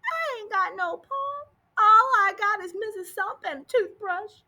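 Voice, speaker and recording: Woman as a small, high-voiced, plaintive orphan girl. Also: unhurried, bright, forceful tone, heavily acted. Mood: tearful pleading. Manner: small, pleading, childlike delivery. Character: cute, plaintive, stubborn little orphan girl.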